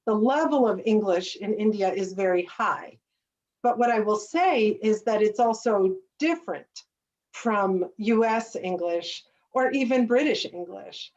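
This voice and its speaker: An American woman giving a friendly, measured talk to students over a webinar. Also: mid-range voice, darkish tone. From United States. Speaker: guest speaker. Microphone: video call. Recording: distant, dull lo-fi webinar audio.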